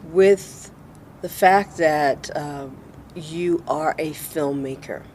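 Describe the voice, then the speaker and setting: An American woman hosting an interview, putting questions in measured, short phrases. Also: deep voice, darkish tone, a little hiss or hum. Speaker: interview show host.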